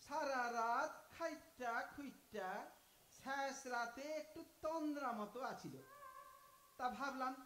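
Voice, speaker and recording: A man's voice: extremely high pitch, slow, sharp tone, exaggerated voice. A man acting an aging, cheeky thief in an audio drama, with an East Bengali dialect and a folksy, mock-polite, talkative manner. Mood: grumbling. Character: aging male thief, cheeky, philosophizing, self-styled honest. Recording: echoey room, far from the mic.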